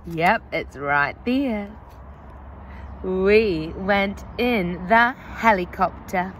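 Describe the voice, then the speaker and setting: A young woman teacher, reading a picture book aloud to young children in a slow, exaggerated, sing-song way, recorded outdoors on a phone. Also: mid-range voice, fairly bright tone, a little hiss or hum. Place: outdoors under trees.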